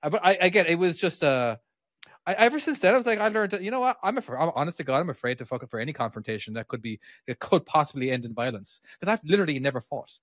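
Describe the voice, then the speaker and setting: Truck-driving podcast co-host from Ireland, rambling and swearing, raised pitch, dry sound. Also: male voice, very animated, quick pace, fairly bright tone, no echo. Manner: rambling, swears a lot, many restarts. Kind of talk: casual podcast chat.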